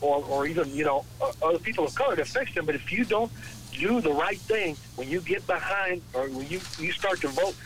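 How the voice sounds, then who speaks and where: An American man in his late sixties, calling a radio show, talking politics casually in a halting, repetitive, exasperated way over a telephone line. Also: fairly high pitch, animated, fairly bright tone. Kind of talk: casual political phone-in chat. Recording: noisy telephone call to radio.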